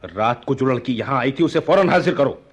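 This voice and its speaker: A man playing a lovestruck, hot-tempered young prince in a radio play, with a husky, theatrical delivery. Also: medium pitch, lively intonation, a little fast. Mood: furious, demanding. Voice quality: husky, breathy. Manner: theatrical, poetic. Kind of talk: Hindi radio play acting.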